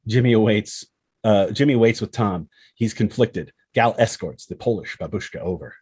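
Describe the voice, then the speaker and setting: A man's voice: fairly low pitch, normal speed, a little mellow, slightly rough, dry recording. A man reading stage directions as the narrator of a screenplay table read.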